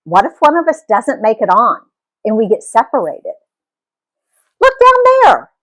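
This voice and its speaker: A woman reading a story aloud as an expressive, animated narrator. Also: fairly high pitch, moderate pace. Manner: animated storytelling with acted dialogue.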